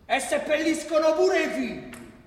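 High-pitched, bitter Roman local. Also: male voice, wildly swooping pitch, moderate pace, exaggerated voice. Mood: indignant, anguished. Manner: sarcastic, indignant, listing grievances. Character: bitter, sarcastic working-class Roman resident with grievances. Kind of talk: theatrical radio play dialogue. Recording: echoey hall.

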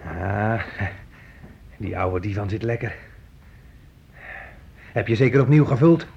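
A man's voice: lowish voice, lively intonation, slow, vocal fry, a little mellow, heavily acted. An actor playing a volatile young fugitive who bullies his mother in a radio play, menacing and childishly needy. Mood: menacing, sneering. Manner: menacing, swings into childish pleading. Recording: muffled, noisy old radio play recording.

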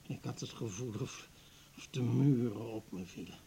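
An actor playing an embittered, grieving older professor in a radio play, husky and weighty, full of wounded accusation. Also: male voice, medium pitch, normal speed, dark tone, a little hiss or hum, natural voice. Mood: bitter, wounded. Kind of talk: Dutch radio play acting.

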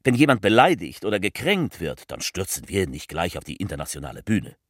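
A man playing a political speechmaker in a theatrical radio piece, addressing the people with halting, emphatic, repetitive rhetoric. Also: low-pitched, very animated, slightly rough, no echo, clean studio sound, heavily acted. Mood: insistent.

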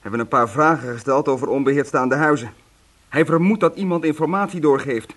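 A man's voice: on the high side, fairly quick, a bit bright. An actor playing a serious, troubled policeman in a radio drama.

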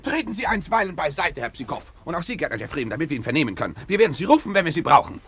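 A pompous, zealous investigator from 1950s radio drama. He barks questions quickly in a bright, rough-edged voice, swinging widely in pitch. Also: big pitch swings, full character voice. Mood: bossy, impatient. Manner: barking, pompous, interrogating. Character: zealous, bossy male police investigator's assistant, theatrical.

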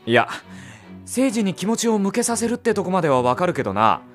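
A man's voice: high voice, very animated, brisk, faint music behind. An actor playing an earnest, kindly teenage boy hero in a Japanese audio drama, with casual, sincere delivery. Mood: sincere, questioning. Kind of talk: Japanese visual novel audio drama acting, with narration.

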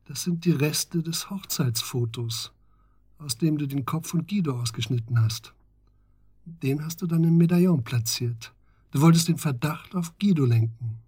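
Earnest investigator, husky, close dry studio sound, pressing, deliberate, radio play. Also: male voice, on the high side, a little mellow, no room sound. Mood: grave, accusing. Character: grave, pressing male investigator confronting his friend. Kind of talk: German radio drama acting.

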